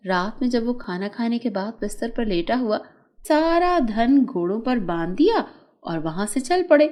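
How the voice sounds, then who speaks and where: High, animated woman storyteller, unhurried. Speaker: narrator. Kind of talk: scripted children's story narration.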